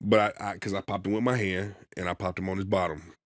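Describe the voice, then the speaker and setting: Loud commanding man telling stories. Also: sits low, fairly even intonation, fast talker, no echo. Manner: loud, commanding, emphatic storytelling. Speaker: podcast co-host. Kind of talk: casual podcast chat.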